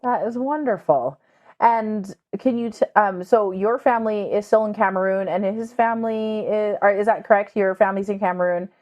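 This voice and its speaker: A woman's voice: mid-range voice, moderate pace, a little mellow, lo-fi sound. A woman hosting a podcast, asking interview questions and affirming her guest. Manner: encouraging, affirming. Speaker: podcast host.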